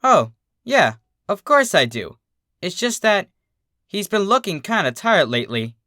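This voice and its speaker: A narrator voicing a brash, energetic young female pegasus pony, casual and excitable. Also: medium pitch, unhurried, dry recording, clean studio sound, pitched above the performer's own voice. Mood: earnest.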